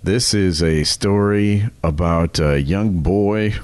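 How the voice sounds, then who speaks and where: A middle-aged American man co-hosting a comedy podcast, telling stories casually in a teasing, animated way. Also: sits low, slow, clear, resonant tone, dry recording. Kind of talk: casual comic storytelling.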